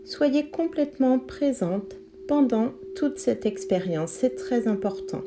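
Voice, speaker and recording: A woman leading a guided yoga relaxation as an instructor, speaking calmly in measured, instructive phrases. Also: on the high side, noisy background. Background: meditation music with singing bowl tones.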